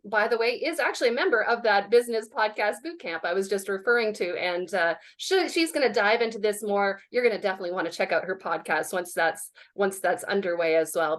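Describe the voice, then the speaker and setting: A woman's voice: medium pitch, a bit bright. A woman hosts an online session and hands over to the main speaker. She talks informally with plenty of fillers, heard through a video call. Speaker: event host. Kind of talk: introducing a speaker.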